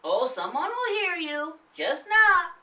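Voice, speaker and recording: Storybook kid role, man performing: brash, teasing young boy, high swooping voice, echoey room. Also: big pitch swings, slow, pushed and bright, distant mic, exaggerated voice. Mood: teasing, bossy. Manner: giggling, snickering bravado. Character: bold, teasing young boy, cocky trick-or-treater.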